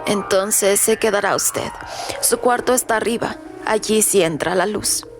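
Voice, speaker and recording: A woman playing a frail, commanding old widow in a radio drama, speaking formally and tersely with a creaky voice. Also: mid-range voice, measured pace, lightly acted. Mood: firm, cryptic. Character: frail, imperious old widow, cryptic and insistent. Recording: loud music underneath. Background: music.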